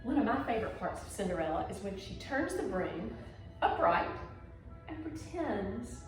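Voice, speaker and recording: A very echoey room surrounds this woman, a dance teacher with a medium-pitched voice and music behind her. She explains things simply, one step at a time, and gives short encouraging prompts as she demonstrates. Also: sing-song, normal speed. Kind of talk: instructional video lesson, demonstrating to pupils. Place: indoor room at home.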